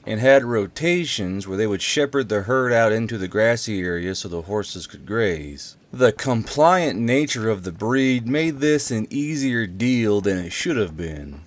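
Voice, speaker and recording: Low-voiced man narrating. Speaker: channel host and narrator. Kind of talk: casual intro, then audiobook-style story narration.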